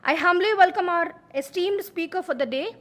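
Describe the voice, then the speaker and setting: A woman with a high, light voice opens the event by introducing the guest speaker. She reads the introduction in a formal, respectful way. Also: moderate pace. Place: lecture hall.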